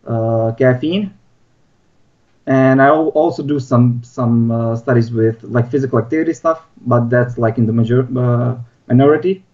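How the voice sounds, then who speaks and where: A man's voice: medium pitch, normal speed, darkish tone. A young man with a Balkan accent, chatting casually as a podcast guest over a lo-fi internet call. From Bosnia. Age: young adult. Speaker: podcast guest, sports science researcher. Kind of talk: casual chat. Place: remote Skype call. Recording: dull, lo-fi internet call audio.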